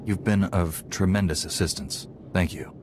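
An actor playing a calm, resolute Jedi hero in a video game, measured and earnest. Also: male voice, deep voice, a little hiss or hum, lightly acted. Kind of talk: video game character acting.

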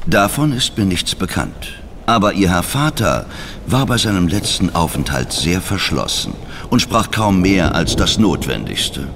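Very deep, gravelly butler. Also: male voice, big pitch swings, measured pace, a bit bright, slight background noise, full character voice. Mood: deferential, reserved. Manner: deferential, stiffly formal. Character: dutiful, reserved, formal manor butler. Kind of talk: German audio drama acting.

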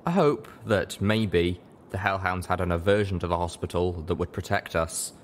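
Deep-voiced man reading a story. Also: normal speed, a little hiss or hum. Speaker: audiobook reader. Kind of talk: scripted narration of fan fiction.

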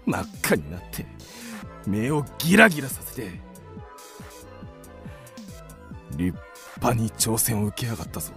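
A man's voice: sits high, sing-song, normal speed, creaky, fairly bright tone, faint music behind, full character voice. A man playing a blustering, hot-headed creditor given to impulsive declarations in a theatrical radio drama. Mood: excited admiration.

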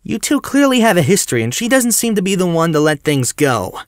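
A woman's voice: fairly low pitch, sing-song, brisk, no echo, clean studio sound. A woman playing an earnest, protective young hero in an audio drama, speaking insistently.

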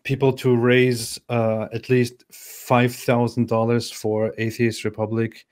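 A young man chatting casually on a livestream as a guest, speaking in a mock-pious, halting way that repeats phrases. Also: mid-range voice, monotone, a little mellow, smooth. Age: young adult. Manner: mock-pious, hesitant repetition. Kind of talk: casual joking livestream chat. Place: small room at home, video call.

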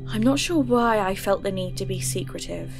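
A woman's voice: medium pitch, animated, normal speed, creaky. A woman narrating her own audio drama in an earnest, curious manner. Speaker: narrator and lead character. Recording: music bed behind voice.

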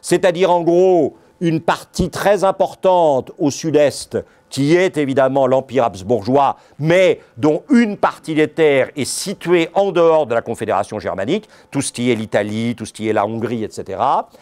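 A man's voice: medium pitch, lively intonation, normal speed, hardly any pauses, a little mellow. A middle-aged man from France giving a lecture on history, digressive and ironic, dropping frequent asides to his audience. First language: French. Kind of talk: university-style history lecture. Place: lecture hall.

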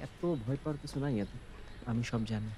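A man's voice: medium pitch, quick pace, dark tone, a little hiss or hum, lightly acted. A young man with a Bangladeshi accent playing a caring, slightly awkward friend in a short-film drama, with a hesitant, wordy way of talking. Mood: serious. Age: young adult.

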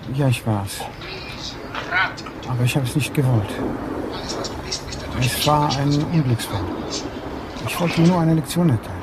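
An actor playing a powerful, smug arms dealer in a crime radio drama, condescending and self-assured. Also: male voice, fairly high pitch, natural voice. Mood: confessing. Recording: clear background outdoor and vehicle noise.

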